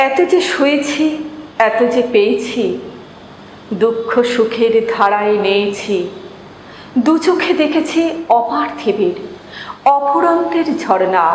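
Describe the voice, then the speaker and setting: A woman reciting poetry in a dramatic, measured manner with drawn-out pauses. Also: fairly high pitch. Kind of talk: expressive Bengali poetry recitation. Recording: reverberant echo, noticeable background hiss.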